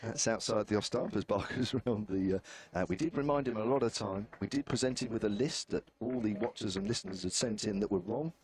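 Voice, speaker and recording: A man's voice: lowish voice, fast talker, few pauses. A man with a southern English accent doing live cricket commentary, wry and chatty. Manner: chatty, wry, self-deprecating. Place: outdoor commentary position at a cricket ground.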